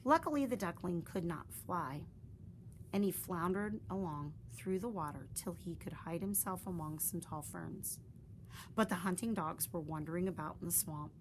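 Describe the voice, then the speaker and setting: A woman reading a children's story aloud with slow, drawn-out, expressive phrasing. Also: fairly low pitch, a little hiss or hum, poor audio.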